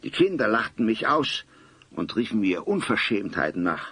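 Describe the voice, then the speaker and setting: A man's voice: lowish voice, very animated, moderate pace, sharp tone, husky, no room sound, full character voice. A man acting an embittered, vengeful old craftsman in an audio drama, with formal, old-fashioned wording and a theatrical delivery. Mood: bitter, wounded. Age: older. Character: embittered old stonemason, vengeful outcast grandfather.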